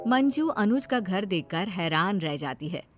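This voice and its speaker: A woman tells a scripted bedtime story for a cartoon. Her voice is of average pitch for a woman, and faint music plays behind her narration. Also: animated, a little slow.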